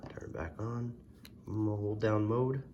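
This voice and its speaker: A man's voice: on the low side, fairly even intonation, moderate pace, lo-fi sound. A man giving a casual, plainspoken hands-on product demonstration in a folksy way. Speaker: product reviewer. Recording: loud background noise, vehicle ambience.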